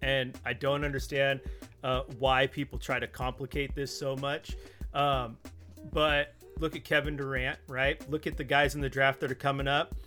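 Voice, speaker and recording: An American man chatting casually as a podcast guest, telling things in an animated, emphatic way. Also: medium pitch, moderate pace, soft background music. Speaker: podcast co-host appearing as guest.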